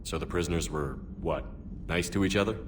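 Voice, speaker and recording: A man playing a steady, supportive, resolute military space commander in a video game, plain-spoken and direct. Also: sits low, brisk, background noise, lightly acted. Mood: calm. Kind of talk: scripted video game voice acting. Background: music.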